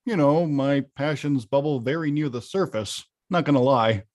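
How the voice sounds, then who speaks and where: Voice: medium pitch, moderate pace, a little mellow, close to an everyday voice. An actor playing a huge, hot-tempered, weary arcade-game villain who confides wryly and grumbles conversationally in a table read. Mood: wry, confessional. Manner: conversational, grumbling, bursts into bellows. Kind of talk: table-read acting, confessional voice-over.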